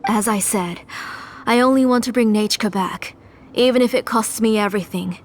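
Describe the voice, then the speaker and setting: An actress playing a grieving mother turned obsessed villain in a game cutscene, speaking softly and dropping into tender whispers. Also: on the high side, normal speed, a little hiss or hum, lightly acted. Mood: haunted. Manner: soft, haunted, tender turning to whispers. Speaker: antagonist.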